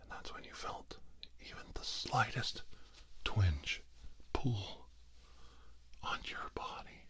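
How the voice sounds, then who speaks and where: Slow, whispering man doing ASMR healing. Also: on the low side, expressive. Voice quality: breathy whisper, mostly unvoiced. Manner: slow, hushed, soothing, with long pauses between short phrases. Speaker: ASMR reiki healer. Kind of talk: soft-spoken whispered ASMR guided healing.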